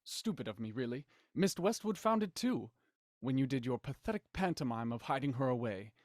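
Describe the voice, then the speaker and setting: Man acting a menacing male villain, smug, condescending, highly animated intonation, close dry sound, audio drama. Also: fairly quick, no echo, natural voice. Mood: cold, resigned, then scornful. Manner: mocking, theatrical, condescending. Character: smug, menacing male villain, cold culprit and puppet-master writer.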